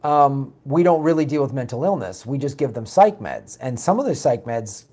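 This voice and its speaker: A raspy man hosting a political talk show, reading viewer comments and answering them bluntly in a casual, animated way. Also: mid-range voice, moderate pace. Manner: blunt, animated, mocks opponents with put-on quotes.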